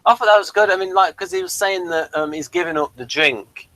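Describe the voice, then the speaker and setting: A man's voice: high-pitched, fairly quick, bright, forceful tone, no room sound. A man co-hosting a review show, talking in a casual, conversational style.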